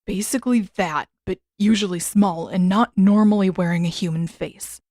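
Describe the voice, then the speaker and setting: A woman's voice: medium pitch, normal speed, mellow, no room sound, clean studio sound, close to an everyday voice. Woman as blunt, earnest young prince. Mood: concerned.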